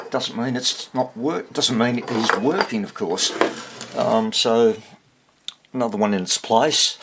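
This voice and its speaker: A man giving casual, unscripted hands-on repair commentary, thinking aloud in short fragments with a husky, gravelly voice. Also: medium pitch, a bit bright. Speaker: hobbyist radio repairer demonstrating on video.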